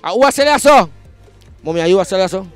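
A young Jamaican man hosting a street market vox pop outdoors with a handheld mic, hyped and shouting slogans in Patois banter. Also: sits high, lively intonation, quick pace, clear, resonant tone, no room sound, faint music behind.

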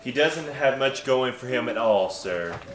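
A man's voice: mid-range voice, expressive, measured pace, natural voice. A young man playing a dutiful, businesslike assistant angel in a staged radio play, matter-of-fact. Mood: calm, businesslike. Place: theater stage. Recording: echoey stage with music behind.

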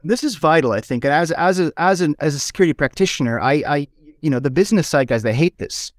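A man's voice: fairly high pitch, animated, fast talker, no room sound. Man from Switzerland, host, hesitant phrasing, dry sound. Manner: hesitant, restarts and stacks up phrases. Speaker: podcast host. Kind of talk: conversational interview.

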